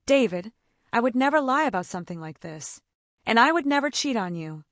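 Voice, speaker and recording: A woman's voice: fairly high pitch, sing-song, brisk, a bit bright, no echo, clean studio sound. A woman storyteller voicing an anxious, earnest wife who pleads with her husband. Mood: hurt, pleading.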